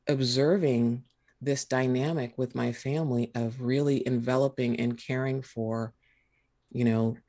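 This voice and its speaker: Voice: mid-range voice, a little mellow. A speaker from California who trained in law, talking in a reflective, storytelling way. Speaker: lawyer, podcast guest. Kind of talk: casual conversation.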